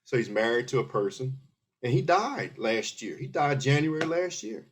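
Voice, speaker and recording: A man's voice: medium pitch, animated, moderate pace, slight background noise. A middle-aged man from Philadelphia, an army veteran guest in an interview, talking earnestly and explaining things step by step with many restarts. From Philadelphia, USA. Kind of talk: casual interview conversation.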